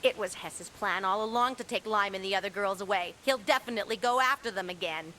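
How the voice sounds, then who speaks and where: A woman's voice: medium pitch, a little fast, sharp tone, a little hiss or hum, lightly acted. A woman playing an earnest, determined young hero in an English anime dub, urgent and resolute. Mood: worried. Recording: music behind the voice.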